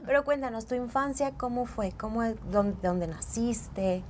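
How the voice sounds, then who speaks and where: A woman's voice: on the high side, unhurried, slight background noise. A woman with a Mexican accent hosting a podcast, friendly and enthusiastic, fond of diminutives. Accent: Mexican Spanish. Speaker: podcast host. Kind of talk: casual podcast interview.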